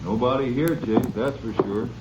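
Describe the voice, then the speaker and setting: An actor playing a steady American Ranger sidekick in a 1950s radio crime drama, terse and matter-of-fact. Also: male voice, medium pitch, normal speed, lightly acted. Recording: muffled, noisy 1950 radio recording.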